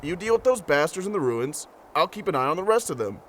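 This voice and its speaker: A man playing a pragmatic, gruff, exasperated soldier in an audio drama, with light ambient noise behind. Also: a little high, big pitch swings, fast, fairly bright tone. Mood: urgent, reasoning. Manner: tense, swearing, reasoning. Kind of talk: post-apocalyptic audio drama acting.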